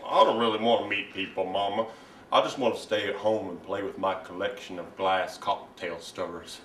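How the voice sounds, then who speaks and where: A man's voice: deep voice, steady tone, fairly bright tone, pitched below the performer's own voice. Man playing timid Southern mama's boy, roomy recording. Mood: sulky, reluctant. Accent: American South. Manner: halting, earnest, naive. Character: timid, whiny, sickly Southern mama's boy, childishly earnest. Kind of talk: comic stage monologue performance. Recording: roomy, distant mic with hiss.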